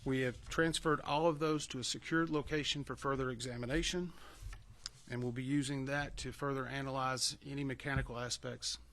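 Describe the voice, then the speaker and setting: A man delivers a formal briefing as an investigation official. His voice sits at a medium pitch. He explains procedure in a measured, orderly way, spelling out terms for the press. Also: a bit bright.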